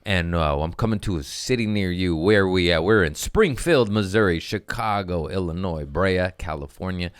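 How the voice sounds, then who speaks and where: Low-voiced rambling comedian man. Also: normal speed, few pauses, clean tone, no echo, clean studio sound. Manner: crude, rambling, jokes at his own expense; breaks off mid-sentence and restarts. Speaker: comedian hosting a podcast. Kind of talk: casual comedic monologue. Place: podcast studio.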